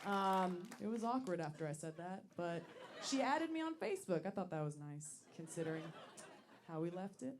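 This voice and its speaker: Woman, late 20s, low voice, dry self-mocking stand-up delivery, lots of ums. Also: moderate pace, a crowd in the background, noisy background. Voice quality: deep voice for a woman. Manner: deadpan, self-deprecating, with many ums. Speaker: stand-up comedian. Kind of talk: stand-up set. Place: comedy club stage.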